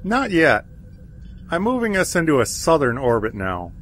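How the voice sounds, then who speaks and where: A man's voice: on the high side, huge pitch swings, normal speed, a bit bright, slight background noise. A man acting as an alert, dutiful starship helm officer, reporting formally in a science fiction audio drama. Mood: alert, focused. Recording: prominent music underneath.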